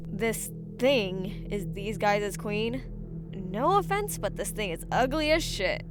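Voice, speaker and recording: A woman voicing a brash, playful succubus with a teasing, drawn-out delivery in an amateur audiobook. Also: mid-range voice, animated. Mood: brash, disgusted. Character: brash, mischievous, playful female succubus Cora. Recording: loud music bed.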